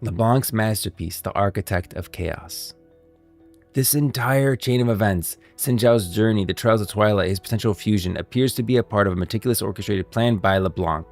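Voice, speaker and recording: A male streamer explains game lore. His voice is fairly low with a lot of vocal fry. He is on a close mic with soft music in the background. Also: normal speed. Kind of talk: lore commentary, part scripted, part off the cuff.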